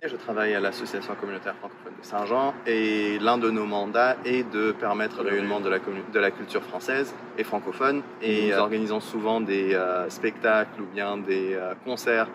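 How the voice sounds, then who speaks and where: A man's voice: fairly low pitch, steady tone, moderate pace, hardly any pauses. A man from Madagascar who works for a community association, answering interview questions carefully. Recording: outdoor street noise.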